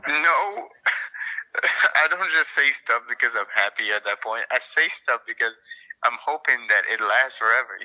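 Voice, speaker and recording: A man's voice: medium pitch, expressive, normal speed, bright, forceful tone. A man on a phone call, incredulous and pushing back, stammering through restarts. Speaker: relative being pranked. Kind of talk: casual phone chat. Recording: muffled phone line.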